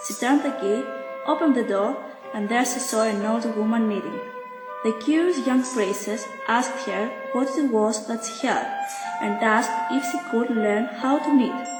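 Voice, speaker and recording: A woman's voice: a little high, unhurried. A woman telling a scripted fairy tale as a storyteller. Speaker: storyteller in a school project. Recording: echoey hall, loud music behind.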